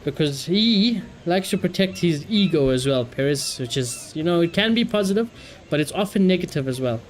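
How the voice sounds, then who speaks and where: A man's voice: fairly high pitch, expressive, normal speed, slight background noise. A man live streaming a game, chatting casually and giving opinionated asides, often repeating his phrases.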